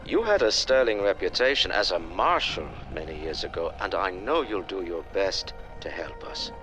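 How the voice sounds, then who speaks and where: A man's voice: deep voice, lively intonation, moderate pace, music in the background. An actor playing an anxious male scientist making an earnest plea. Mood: pleading. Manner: earnest, pleading.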